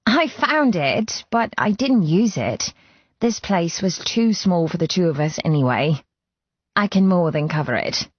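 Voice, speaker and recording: A woman's voice: on the low side, big pitch swings, unhurried, slightly rough, no echo, lo-fi sound, pitched above the performer's own voice, full character voice. A woman voicing a chatty, teasing young female friend in audiobook dialogue, exclamatory and playfully sarcastic. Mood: warm, reserved.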